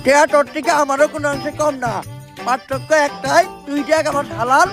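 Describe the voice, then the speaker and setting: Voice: sits high, fairly even intonation, fairly quick, music in the background, natural voice. A performer voicing a brash, foul-mouthed betting tout in a comic skit, with crude, taunting sales patter. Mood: scornful. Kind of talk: comic dubbed skit dialogue.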